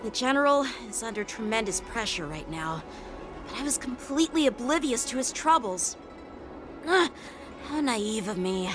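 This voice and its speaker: Dutiful young officer, courteous and formal, game music under. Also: male voice, very high voice, animated, moderate pace. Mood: regretful. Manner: courteous, formal, self-critical. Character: earnest young male officer, polite and dutiful. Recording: game dialogue over music.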